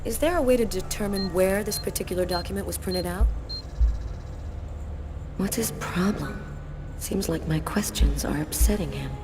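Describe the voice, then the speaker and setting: An actress playing a composed, probing police detective in a video game drama, businesslike and measured. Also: female voice, lowish voice, lightly acted. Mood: probing, suspicious. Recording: music under the voice.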